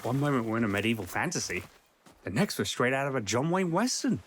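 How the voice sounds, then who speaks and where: Animated, wry adventurer played by a man. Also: medium pitch, fast talker, slight background noise. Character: affable, wisecracking adventurer and peacemaker. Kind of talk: audio drama comedy acting. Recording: music behind the voice.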